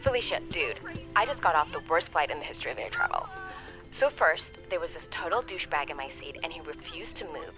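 A woman's voice: low voice, lively intonation, fast, music in the background. A woman with an American accent playing a brash, breezy friend and mother in an audio drama, chatty and slangy. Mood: chatty, griping. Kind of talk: naturalistic audio drama acting.

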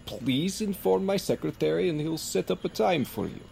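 An imperious male professor in an audio drama. His voice is fairly high and swings expressively, with a gravelly edge. He is smug, slippery and demanding, as someone in command of an operation. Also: lively intonation, moderate pace, dark tone. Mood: falsely reasonable.

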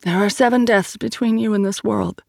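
Narrator doing a young woman, earnest, casual, higher voice, slightly gravelly, dry sound. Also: steady tone, moderate pace, darkish tone, no echo, close to an everyday voice. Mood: pleading.

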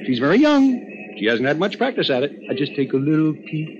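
Excitable doting young father, muffled radio. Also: a little high, a little fast. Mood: fascinated, fussy. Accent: American. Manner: fussy, repetitive, chattering. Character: excitable, doting, jokey new father and husband. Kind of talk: 1950s radio drama acting. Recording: muffled, noisy 1950s radio recording.

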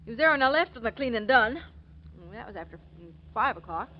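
A woman's voice: on the high side, expressive, fast talker, close to an everyday voice. A woman acting a wary, plain-spoken working-class cleaning lady in blunt, guarded 1940s radio style. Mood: insistent. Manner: blunt, clipped grammar, guarded. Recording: muffled, noisy vintage 1940s radio recording.

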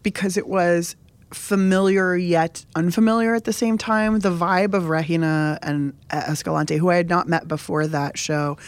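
A woman's voice: lowish voice, fairly even intonation, moderate pace, no room sound. A young adult woman from San Diego, a cook and show host, talking casually in an interview, chatty and often laughing.

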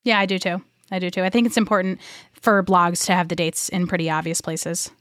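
A woman's voice: on the low side, flat delivery, a little fast, a bit bright, dry recording, studio quality. A woman talking in a casual, conversational way as a podcast co-host.

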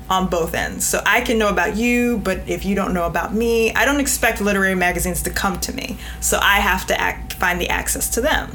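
A woman's voice: medium pitch, fairly bright tone, a little hiss or hum. A woman guest on a panel interview, speaking emphatically and often voicing imagined replies as quotes. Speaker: podcast guest, literary editor.

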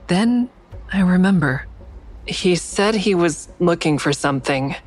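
A woman's voice: lowish voice, lively intonation, a little slow, slight background noise. An actress playing a guarded, sardonic woman in an audio drama, dry and wry in dialogue and first-person narration. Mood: tense narration. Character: guarded, sardonic woman, crisis counselor with secret kills.

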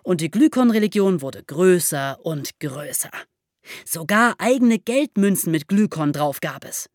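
A woman hosting a podcast, explaining history as lively storytelling in simple, conversational terms. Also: medium pitch, no echo, studio quality. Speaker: podcast host telling history stories.

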